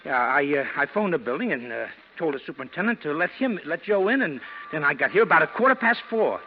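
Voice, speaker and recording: An actor playing a nervous, slangy American ex-convict in a 1940s radio crime drama, pleading and hesitant. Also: male voice, on the high side, big pitch swings, fast. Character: nervous, fast-pleading male ex-convict, slangy small-time crook. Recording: dull, noisy vintage radio recording.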